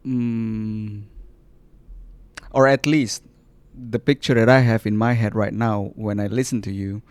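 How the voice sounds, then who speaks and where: A man's voice: fairly low pitch, steady tone, a little slow, no room sound. A man from Indonesia hosting a podcast interview, speaking thoughtfully and searching for words as he reflects. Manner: thoughtful, searching, frequent restarts. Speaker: podcast host.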